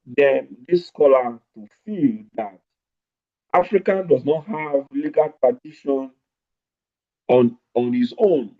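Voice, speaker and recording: A man lecturing a law class online, stretching out key words with deliberate emphasis. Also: mid-range voice. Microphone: remote call over network. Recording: dull lo-fi online audio.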